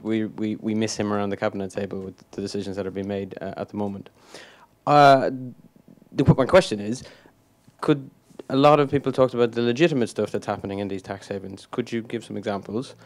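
A man from a charity in the audience, asking his question with many fillers. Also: on the low side.

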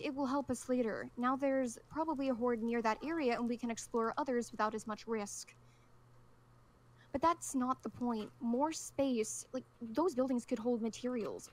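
Woman acting stern, high-voiced defiant survivor. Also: normal speed, natural voice. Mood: insistent. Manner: argumentative, clipped, formal. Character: stern, defiant young female zombie-apocalypse survivor challenging the leader. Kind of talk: improvised game roleplay.

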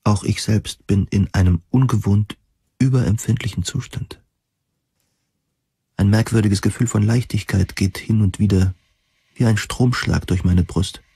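A man playing a lonely, hypersensitive survivor, narrating a dystopian radio drama in measured, solemn, formal words. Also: lowish voice, vocal fry, mellow, slightly rough, dry recording, clean studio sound, exaggerated voice. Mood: detached, brooding. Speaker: narrator and protagonist. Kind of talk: introspective first-person radio drama narration.

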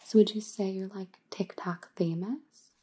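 A woman's voice: mid-range voice, fairly even intonation, a little slow, dark tone, husky. A young woman playing an aloof, trend-obsessed vampire housemate in an ASMR roleplay, drawling casually and often whispering. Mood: appraising, aloof. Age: young adult. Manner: casual valley-girl drawl full of "like".

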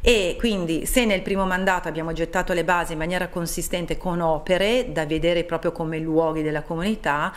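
A middle-aged woman being interviewed as a town mayor in a TV studio, explaining in an earnest, measured way with frequent pauses. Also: lowish voice, fairly even intonation.

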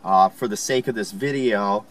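A man calmly explaining, step by step, how to install a car part, recorded inside a car. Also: lowish voice, normal speed. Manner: calm, plain instructional. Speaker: installation instructor.

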